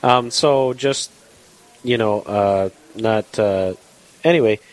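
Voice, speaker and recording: A man's voice: on the low side, slow pace, rough recording. A man hosting a live radio talk show from a coffee shop, talking in a rambling way with many fillers. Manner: rambling, filler-heavy, friendly. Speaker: radio host.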